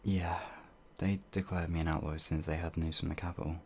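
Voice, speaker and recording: A man's voice: sits low, level tone, fast talker, slight background noise. A man playing a timid, apologetic werewolf farmhand in an audio roleplay, hesitant and rambling, stammering as he goes. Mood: meek, fearful. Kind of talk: ASMR audio roleplay monologue.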